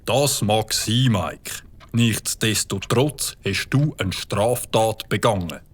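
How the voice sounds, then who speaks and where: Brief: a slow, stern Swiss German village cop, official manner. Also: male voice, on the low side. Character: stern male village police officer, middle-aged. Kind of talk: audio drama acting.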